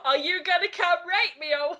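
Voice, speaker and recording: A woman's voice: very high-pitched, moderate pace, sharp tone, dull audio. A young woman reading aloud in a mocking group game, giggly and openly incredulous as she banters. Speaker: player in a comedic dramatic reading game.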